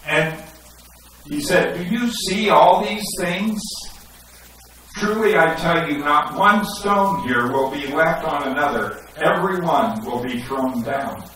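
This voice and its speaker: A man's voice: fairly high pitch, slow pace, pushed and bright, a little hiss or hum. A middle-aged man preaching a sermon and reading scripture aloud in a church sanctuary. He speaks through a headset mic, gestures as he talks and rises to emphatic questions. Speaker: pastor. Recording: echoey sanctuary, recorded from a distance.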